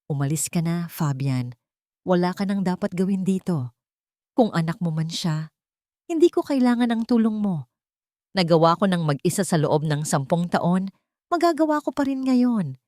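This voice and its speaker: A woman's voice: lowish voice, expressive, normal speed, pauses often, darkish tone, no room sound, studio quality, lightly acted. Woman acting a bitter, defiant single mother. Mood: angry, hurt. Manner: emphatic, accusing. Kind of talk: dramatic audio story acting.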